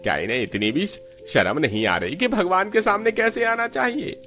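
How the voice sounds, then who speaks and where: Sanctimonious cartoon priest, scolding in a really high put-on voice. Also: male voice, animated, normal speed, pushed and bright, full character voice. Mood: scandalised. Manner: sanctimonious, reproachful. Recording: music under the voice.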